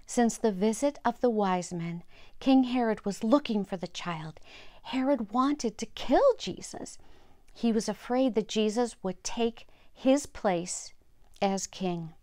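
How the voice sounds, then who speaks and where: An older woman telling Bible stories for children in short, simple sentences, pausing to explain. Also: medium pitch, warm tone. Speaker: storyteller and host of a children's story program.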